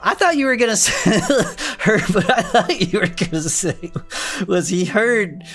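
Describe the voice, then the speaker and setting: A woman's voice: mid-range voice, lively intonation, a little slow, music in the background, lightly acted. A woman playing a wry, skeptical sidekick, reacting to tall tales in comic podcast banter. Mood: incredulous. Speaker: podcast co-host.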